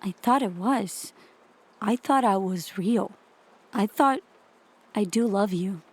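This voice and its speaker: A woman's voice: medium pitch, slow pace, husky. A woman acting a casual, bewildered female partner, loving and wounded, in an audio drama. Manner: casual, puzzled.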